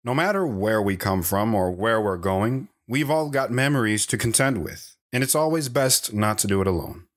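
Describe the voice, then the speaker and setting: A man's voice: fairly low pitch, expressive, natural voice. An actor playing a world-weary, polite wandering man, cryptic and reflective, in an audio drama. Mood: guarded, reflective. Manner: measured, reflective, reserved.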